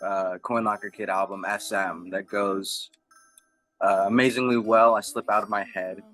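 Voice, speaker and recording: A man's voice: lowish voice, level tone, moderate pace, fairly bright tone. A man working as an interviewer, asking questions in a conversational way.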